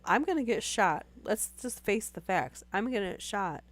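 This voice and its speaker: A woman's voice: medium pitch, expressive, moderate pace. A young woman chatting casually with live viewers while losing her voice to a sore throat, rambling with many fillers. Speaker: streamer.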